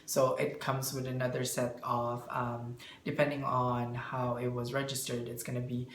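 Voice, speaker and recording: A man answering interview questions as a bookkeeper, explaining in a halting way and searching for words. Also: mid-range voice, completely flat delivery, a bit bright. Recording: echoey room, faint background noise.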